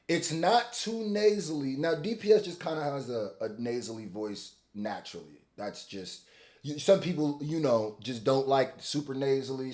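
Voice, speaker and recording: Young rapper dude reacting to a track, loose and chatty, middle pitched, keeps restarting words. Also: male voice. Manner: loose and chatty, repeats words when restarting, sudden loud outbursts. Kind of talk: casual reaction commentary.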